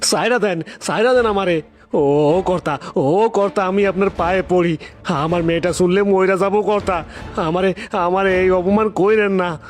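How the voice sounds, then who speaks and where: Poor fisherman for a male actor: high, humble, imploring, rural East Bengali accent, music underneath. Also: steady tone, normal speed, close to an everyday voice. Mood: anguished begging. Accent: rural East Bengali dialect. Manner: pleading, plaintive, stammering repeats. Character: poor, desperate pleading fisherman, humble father. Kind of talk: dramatic cartoon story acting.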